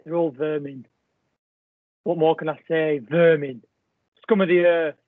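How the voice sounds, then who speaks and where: A man's voice: a little high, fairly even intonation, measured pace, dry recording, close to an everyday voice. An actor playing a bitter, crude old rural man, delivering a blunt, contemptuous, rambling stage monologue. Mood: contemptuous, scornful. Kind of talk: theatrical monologue in a play reading. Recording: thin phone-like band-limited audio.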